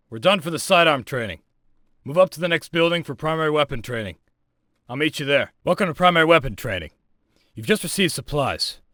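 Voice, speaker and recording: An actor playing a brusque, foul-mouthed military firearms instructor in a video game, giving blunt, commanding orders. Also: male voice, mid-range voice, a bit bright, no echo, studio quality, lightly acted. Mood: businesslike, instructive. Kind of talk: scripted game voice lines, instructional and barked commands.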